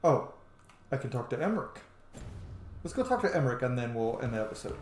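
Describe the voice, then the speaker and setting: A man doing casual let's play commentary on a video game, chatty and excitable, with plenty of swearing. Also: mid-range voice, quick pace, a little hiss or hum. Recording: echoey room with game music behind.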